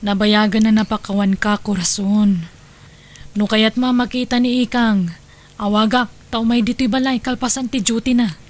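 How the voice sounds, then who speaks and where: A woman playing a caring, steady wife and forgiving stepmother in an audio drama. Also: on the high side, normal speed, natural voice. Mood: earnest, forgiving. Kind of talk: Ilocano audio drama acting.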